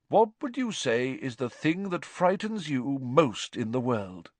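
Guy doing a kind cheery teacher, voice pitched up and bouncy, patient and encouraging. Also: very animated, a little slow, no echo. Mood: cheerful teacherly. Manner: patient, encouraging, gently theatrical. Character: kindly, cheerful male professor, adult teacher. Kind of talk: audiobook character voice.

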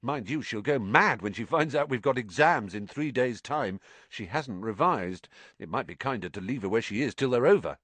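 A man voicing a blunt, excitable teenage boy who talks fast and pushily. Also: mid-range voice, no room sound. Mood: eager. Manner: quick, pushy.

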